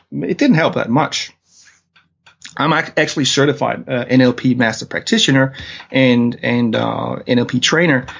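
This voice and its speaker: A man's voice: medium pitch, creaky, husky. A man from Denmark with a Danish accent, telling his story as a guest in an interview, hesitant with many fillers and restarts. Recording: dull lo-fi audio.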